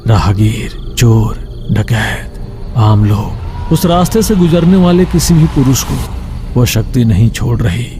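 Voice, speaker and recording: A man's voice: mid-range voice, animated, a little slow, over music. A man narrating a scripted horror story.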